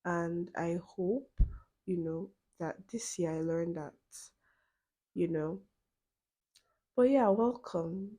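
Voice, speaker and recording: A young woman, hosting her own podcast in a relaxed solo chat, full of "you know" fillers and quick laughs. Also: lowish voice, breathy edge. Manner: chatty, many "you know" fillers, laughs between phrases. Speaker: podcast host.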